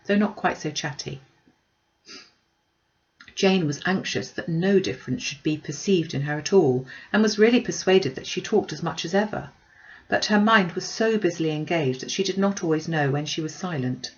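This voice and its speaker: A woman's voice: lowish voice, normal speed, dull audio. A woman reading a classic novel aloud as scripted audiobook narration.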